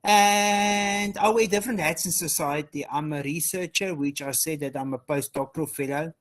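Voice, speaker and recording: A man with a South African accent giving an earnest panel talk as a researcher and advocate, often repeating phrases, on a video call. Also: fairly high pitch. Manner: earnest, repeats phrases like "as well" and "you know". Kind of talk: panel presentation.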